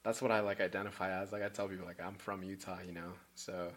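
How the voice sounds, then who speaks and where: Young man from Peru, low-pitched, relaxed rambling chat guest. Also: quick pace. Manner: laid-back, rambling with many fillers and restarts. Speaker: podcast guest. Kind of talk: casual chat.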